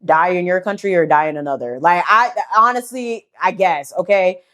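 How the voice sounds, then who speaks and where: A young woman from the United States talks straight to her viewers in a blunt, heated way. She swears freely and keeps firing off rhetorical questions. Her voice sits fairly low for a woman. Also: moderate pace, fairly bright tone. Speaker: vlogger. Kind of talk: casual commentary to camera.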